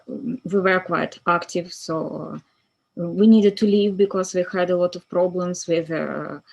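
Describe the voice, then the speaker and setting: Woman, lowish voice, pauses to find words, phrasing. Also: low-quality recording. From Ukraine. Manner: non-native phrasing, searching for words with many pauses. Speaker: musician guest. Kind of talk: casual interview chat.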